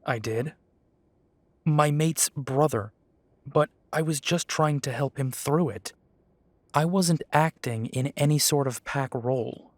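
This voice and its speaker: A man's voice: a little high, slow, dry recording. An actor playing a defiant young male werewolf who answers the council with dry, mocking politeness. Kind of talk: audio drama acting.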